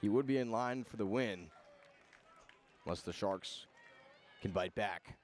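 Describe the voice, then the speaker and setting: A man's voice: fairly low pitch, measured pace, a faint crowd, a little hiss or hum. A man doing live radio play-by-play commentary of a baseball game outdoors.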